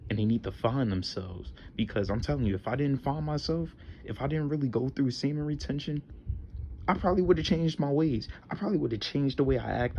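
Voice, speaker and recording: A man's voice: mid-range voice, darkish tone, highs cut off, slight background noise. A man in his twenties hosting a solo podcast, talking earnestly with slang, repeated phrases and many fillers. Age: 20s. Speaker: podcast host.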